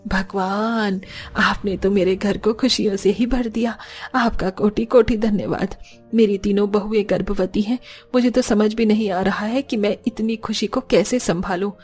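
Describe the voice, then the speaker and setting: A woman's voice: mid-range voice, steady tone, normal speed, a little mellow, husky, close to an everyday voice. Elderly mother-in-law for a cartoon woman acting, devout and protective, earnest delivery, faint music. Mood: joyful, devout. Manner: soothing, earnest.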